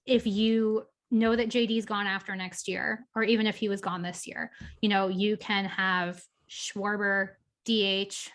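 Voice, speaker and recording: A woman's voice: mid-range voice, little pitch movement, measured pace, hardly any pauses, fairly bright tone. Chatty opinionated woman talking sports. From Boston area. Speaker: podcast co-host. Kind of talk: casual sports chat.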